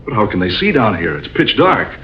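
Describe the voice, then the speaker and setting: An actor playing an earnest, anguished adventurer in love, with dramatic intensity in a 1940s radio adventure. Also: male voice, on the low side, huge pitch swings, a little fast. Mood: hushed awe. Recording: muffled, hissy 1940s radio recording.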